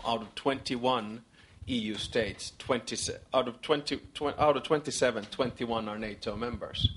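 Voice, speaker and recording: A man speaking as a conference panelist, answering questions in a measured way and listing his points one by one. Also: mid-range voice, fairly bright tone, a little hiss or hum.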